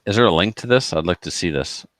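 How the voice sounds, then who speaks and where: A man's voice: low-pitched, expressive, fast, a bit bright, dry recording. A man co-hosting a podcast in casual banter, joking and rambling, often trailing off. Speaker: podcast co-host.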